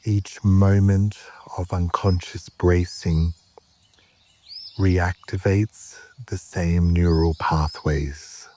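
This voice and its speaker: A man guiding a meditation in a calm, measured way, with short phrases, long pauses and a soft, at times breathy voice. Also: deep voice, slight background noise. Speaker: yoga nidra meditation guide. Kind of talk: slow guided meditation narration.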